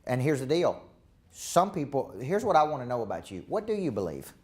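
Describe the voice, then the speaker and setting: Southern man, leadership coach, punchy seminar delivery, mid-pitched. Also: very animated, a little fast, background noise. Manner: emphatic, repetitive, preacher-like cadence. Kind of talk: live seminar talk.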